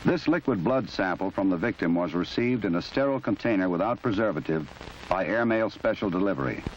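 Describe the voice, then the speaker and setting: Male narrator, low register, measured and exact instructional read, dated film audio with faint background noise. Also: animated. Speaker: narrator of a forensic training film. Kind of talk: formal scripted documentary narration. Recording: muffled, noisy old 1970s film soundtrack.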